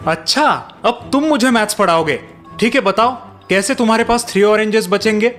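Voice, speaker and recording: A man's voice: high-pitched, normal speed, fairly bright tone, soft background music, voice pushed up. A man voicing an earnest young tutor in a cartoon, who explains step by step and is easily exasperated. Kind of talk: cartoon voice acting.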